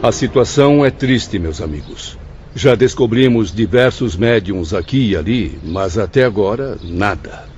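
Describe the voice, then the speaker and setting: An actor playing a dejected, dignified gentleman spirit in a radio drama, speaking formally and gravely. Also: lowish voice, lively intonation, a little slow, soft background music. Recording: dull lo-fi audio, faint background noise.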